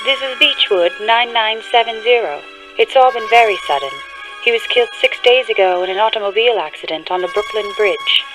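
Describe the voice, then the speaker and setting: A woman's voice: on the high side, fairly quick, music in the background, natural voice, low-quality recording. An actress playing a composed, formal woman answering a household telephone in a suspense radio play, polite and matter-of-fact. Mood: grave, matter-of-fact.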